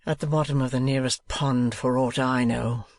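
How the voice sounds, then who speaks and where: A man voicing a defiant, earnest young teacher who answers in a quiet, firm way. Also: medium pitch, fairly even intonation, normal speed, dry recording, lightly acted.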